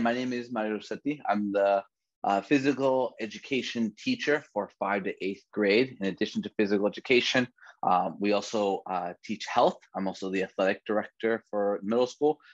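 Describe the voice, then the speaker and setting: A man in his thirties from the Bay Area, a physical education teacher presenting over slides in a relaxed way, with many fillers and restarts. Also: on the low side, no room sound. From San Francisco Bay Area. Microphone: video call. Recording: dry, dull lo-fi video call audio.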